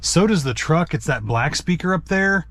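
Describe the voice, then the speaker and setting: A man's voice: on the high side, expressive, moderate pace, a bit bright, no echo. A man giving a casual hands-on tutorial in a plainspoken way, thinking aloud as he works. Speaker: host and truck driver demonstrating an installation.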